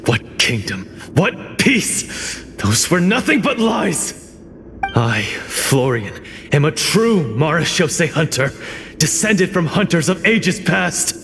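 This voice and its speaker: An actor voicing a bitter, proud hunter turned betrayer in a video game, declaiming with dramatic resentment. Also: male voice, on the high side, sing-song, takes it slowly, vocal fry, full character voice. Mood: scornful, proud. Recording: long hall reverb under loud guitar music.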